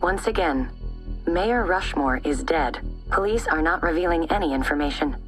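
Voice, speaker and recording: A serious television news anchor in a video game. She reads formally in a lowish, measured voice with music faintly behind. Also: animated, poor audio. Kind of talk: scripted news bulletin.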